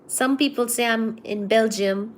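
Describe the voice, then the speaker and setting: A young woman from India hosts a casual livestream, her voice sitting slightly high. She reads viewer comments aloud and teases the chat in an easygoing way. Her energy is low today. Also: measured pace, a little hiss or hum. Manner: chatty, teasing, reads chat aloud and answers it. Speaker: livestream host.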